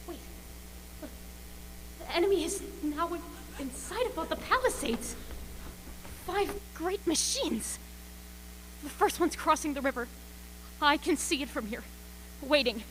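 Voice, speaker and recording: A woman playing a frightened radio reporter describing an alien invasion in a radio play, halting and repeating words. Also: high-pitched, lively intonation, a bit bright, a little hiss or hum, low-quality recording. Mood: rising panic. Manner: breathless, halting, repeats words under rising panic. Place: school auxiliary gym stage.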